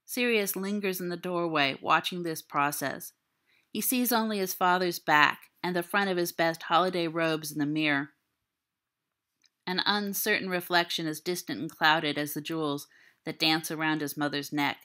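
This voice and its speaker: Low, very bright-voiced woman narrating fiction. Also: fairly even intonation, normal speed. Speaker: audiobook reader. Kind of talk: scripted literary narration.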